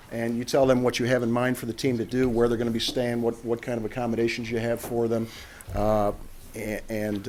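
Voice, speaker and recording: A man giving halting public remarks at a council meeting, representing a housing nonprofit. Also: mid-range voice. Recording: noisy meeting-room audio.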